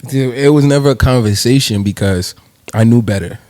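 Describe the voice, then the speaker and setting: A man's voice: medium pitch, a little fast, a little mellow, dry recording. A young man from New Jersey, a rapper, chatting casually as an interview guest with slang and swearing. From New Jersey, USA. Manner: laid-back slangy, swears freely, laughs.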